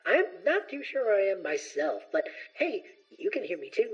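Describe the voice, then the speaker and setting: A woman's voice: medium pitch, big pitch swings, normal speed, husky, muffled recording. A young woman playing a cheeky, easygoing, adventurous male student in a fantasy audio drama, with a breezy, quippy delivery. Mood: excited, reassuring.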